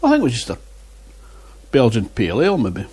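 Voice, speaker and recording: A man's voice: medium pitch, huge pitch swings, fairly quick, darkish tone, breathy edge. A man reviewing beer in a casual vlog, chatting and muttering asides to himself on a lapel mic. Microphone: wireless lapel mic.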